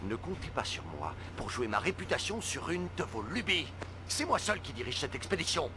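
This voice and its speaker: A man's voice: on the high side, sing-song, a little fast, noisy background. An actor playing a pompous, indignant middle-aged male academic in video game dialogue. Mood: pompous, affronted. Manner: pompous, affronted.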